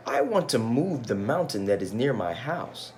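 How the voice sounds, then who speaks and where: A man's voice: mid-range voice, very animated, normal speed, a little mellow, a little hiss or hum, natural voice. A man voicing a mild, doubtful husband in a picture-book folktale. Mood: mild, puzzled.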